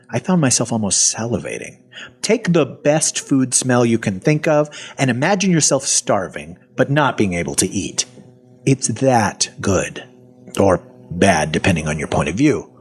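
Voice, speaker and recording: A man's voice: medium pitch, close to an everyday voice. An actor playing a wry, cynical half-zombie man in an audio fiction drama, telling his own story in a sardonic, colloquial manner. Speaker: voice actor and narrator. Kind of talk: first-person audio fiction narration and dialogue.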